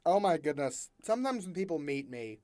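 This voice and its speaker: A young man from the American South without a Southern accent, hosting a casual chat, relaxed and chatty with lots of fillers and laughs. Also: on the high side, fairly quick, no room sound, clean studio sound. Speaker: podcast host.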